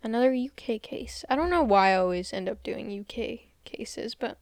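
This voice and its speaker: A woman's voice: a little high, lively intonation, moderate pace, no echo. A woman co-hosting a casual true crime podcast, chatty and laughing often, sometimes stumbling over place names. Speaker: podcast co-host.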